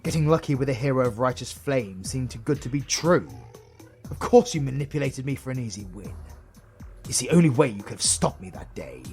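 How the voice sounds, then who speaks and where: Man acting a wildly expressive British supervillain. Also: medium pitch, fairly quick, soft background music, close to an everyday voice. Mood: menacing, accusing. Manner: theatrical, swinging between menacing and exasperated. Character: flustered theatrical male supervillain, smitten with his heroine rival. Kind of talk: romantic comedy audio roleplay acting.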